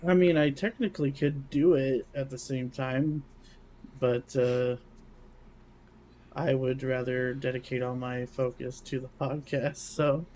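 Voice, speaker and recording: A man's voice: medium pitch, fairly even intonation, unhurried, darkish tone, low-quality recording. A man co-hosting a podcast, talking in a casual, conversational way. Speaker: podcast co-host. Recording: faint background noise.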